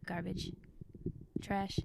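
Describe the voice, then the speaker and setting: A woman's voice: drawn-out words, a crowd in the background, poor audio. A woman of twenty-one chatting casually as a podcast co-host, laughing often and saying "like" a lot. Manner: giggly, uses "like" a lot. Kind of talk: casual chat.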